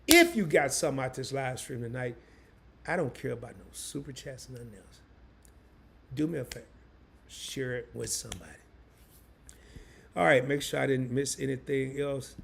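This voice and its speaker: A hoarse man hosting a live stream, chatting loosely and in slang straight to his viewers. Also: medium pitch, normal speed, pauses often, a bit bright, slight background noise. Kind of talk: casual live stream chat.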